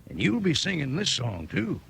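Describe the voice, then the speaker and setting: Folksy cowboy pitchman, raspy Western American drawl, swooping delivery, 1950s radio ad. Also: huge pitch swings, normal speed, fairly bright tone, full character voice. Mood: folksy, enthusiastic. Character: folksy, jolly old-West cowboy sidekick selling cereal. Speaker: cereal pitchman. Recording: vintage 1950s radio recording.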